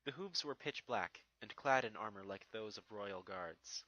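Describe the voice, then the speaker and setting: Low, bright-voiced man narrating a story. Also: lively intonation, normal speed, dry recording, lo-fi sound. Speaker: fan fiction narrator. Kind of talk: dramatic scripted story narration.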